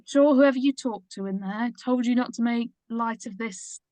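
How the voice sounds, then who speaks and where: A woman performs an uncomfortable mother of about sixty who nags and fusses. Her voice sits on the high side, with a very dry sound. The delivery is that of a drama script read at the table. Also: normal speed, dark tone, no echo, close to an everyday voice. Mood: chiding. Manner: fussy, chiding.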